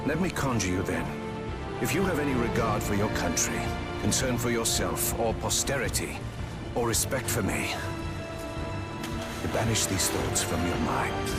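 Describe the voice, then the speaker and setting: An actor playing a grave, principled older general and statesman in a video game, speaking in a formal, measured, solemn way. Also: low voice. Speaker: military commander. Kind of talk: dramatic video game acting.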